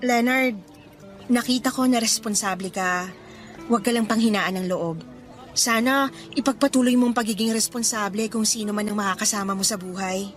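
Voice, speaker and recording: A woman acting in a Filipino radio drama as a cheerful, gentle young woman letting down her suitors. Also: medium pitch, moderate pace, faint music behind, lightly acted. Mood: gentle, serious.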